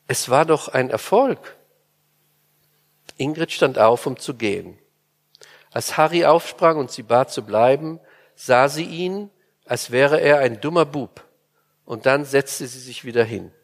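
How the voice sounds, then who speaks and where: A man calmly reading a novel aloud as an audiobook narrator, measured and even. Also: medium pitch, in a large hall.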